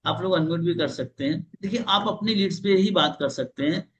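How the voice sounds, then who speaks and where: A man's voice: on the high side, fairly quick, slightly rough. A man leading a live online sales training, explaining how to call old leads and acting out both sides of the call with repeated questions. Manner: explains with role-play examples and repeated questions. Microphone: video call.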